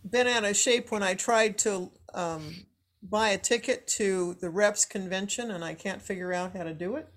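A woman with a medium-pitched voice talks casually in a group conversation. She is a bit hesitant, pausing to find her words.

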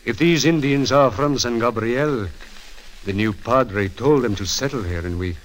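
A man's voice: mid-range voice, a little slow, slight background noise, natural voice, poor audio. A man playing a dignified, peace-loving old rancher and father in a 1940s radio drama, grave and earnest. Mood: grave, reproachful. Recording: 1940s radio broadcast recording.

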